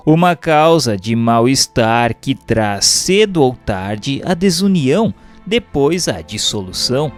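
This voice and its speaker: A Brazilian man narrates in a formal audiobook style. He takes his time with each phrase, and his intonation rises and falls widely. Soft music plays behind his voice. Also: medium pitch. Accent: Brazilian Portuguese. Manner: formal, measured, expressive phrasing. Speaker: reader of a Spiritist text. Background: background music.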